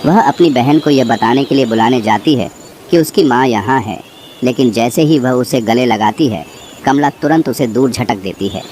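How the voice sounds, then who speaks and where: A man's voice: medium pitch, moderate pace. A man reading a scripted horror story as its narrator, with insect and bird ambience behind him. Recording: clear background noise, nature ambience.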